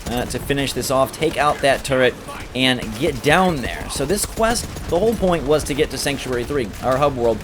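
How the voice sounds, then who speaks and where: Man doing gameplay commentary over game noise. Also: mid-range voice. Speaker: gameplay video host. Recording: game sound loud behind voice. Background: video game action noise.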